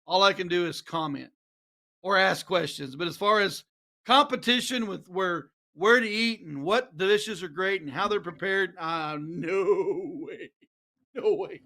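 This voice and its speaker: A man's voice: high-pitched, measured pace, sharp tone. A man in his fifties calling a darts match live, stumbling over player names and laughing at himself. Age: 50s. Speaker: darts commentator.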